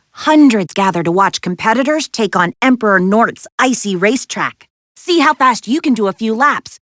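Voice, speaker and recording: Plucky young female game adventurer, mission-giver, very expressive intonation, earnest with a wry edge, close dry studio sound. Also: on the high side, no room sound. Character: confident, spirited young adventurer woman giving missions. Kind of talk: video game character voice acting.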